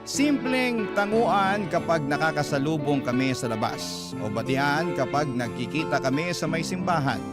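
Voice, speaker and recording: Earnest storyteller over loud guitar music. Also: male voice, a little high. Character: grateful salon owner recounting their own love story. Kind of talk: first-person radio drama narration.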